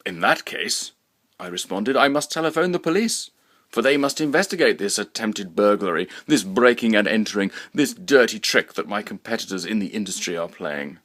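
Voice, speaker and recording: A man's voice: lowish voice, expressive, fairly bright tone. A man playing a pompous, fussy, paranoid inventor who formally and dramatically recounts his mishap in a comic short story. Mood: grandiose, indignant. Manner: formal, verbose, deliberately enunciated, dramatic.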